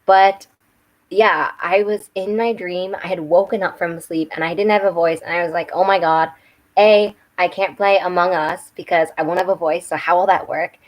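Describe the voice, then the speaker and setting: Young woman a bit hoarse, lower voice, rambly webcam chat at home. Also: fairly even intonation, normal speed, rough recording. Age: young adult. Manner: rambling, chatty, with restarts and self-corrections. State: slightly hoarse. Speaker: book and makeup channel host. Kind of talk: casual live-stream chat while doing makeup. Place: home room with bookshelves.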